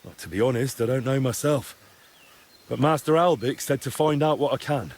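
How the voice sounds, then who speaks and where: A man's voice: mid-range voice, normal speed, lightly acted. A man playing an earnest young investigator in a medieval video game drama, direct and questioning, reassuring but pressing. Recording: clear background ambient noise.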